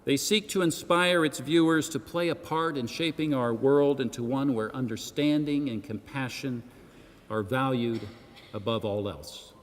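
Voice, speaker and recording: A man delivering a formal public speech with measured, emphatic oratorical phrasing and the occasional rhetorical aside. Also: mid-range voice. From Senegal. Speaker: arts organisation president giving a launch speech. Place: church hall, addressing a gathering. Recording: long echoing reverb of a large hall.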